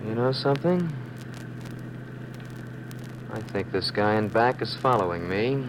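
An actor playing a short-tempered, bossy crook of a husband with an American accent in an old radio crime drama, curt and slangy. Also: male voice, lowish voice, animated, a little slow. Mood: cynical, curt. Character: bullying, cynical crook husband, hard-boiled and short-tempered. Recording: noisy old radio recording, car sounds.

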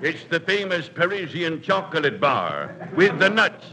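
A burlesque pitchman in a 1940s radio comedy. The high voice delivers slick, deliberate patter and sly wisecracks. Clear noise runs through the old recording. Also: very animated. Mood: slick, teasing. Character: slick burlesque theater candy pitchman hawking wares. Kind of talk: comic sales spiel, 1940s radio.

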